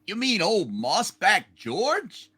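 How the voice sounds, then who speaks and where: A man's voice: high-pitched, wildly swooping pitch, takes it slowly, pushed and bright, husky, exaggerated voice. A man playing a brash, jovial young businessman, boastful and hammy, in an amateur radio play reading. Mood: hearty.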